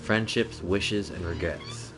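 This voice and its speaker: Male game livestreamer, deep and slow, crude casual commentary over game music. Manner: crude, sweary, slangy.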